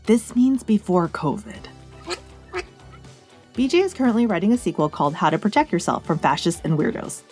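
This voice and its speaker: A woman's voice: on the low side, very animated, moderate pace, a little mellow, soft background music. An American woman hosting a podcast, reading a script with chatty, playful asides addressed straight to the listener. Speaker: podcast host and reporter.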